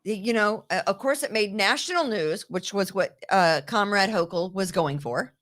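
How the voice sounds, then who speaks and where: A woman hosting a political talk show, talking in an indignant, rapid way and often repeating herself. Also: medium pitch, a bit bright. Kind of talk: opinionated political chat. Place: New York.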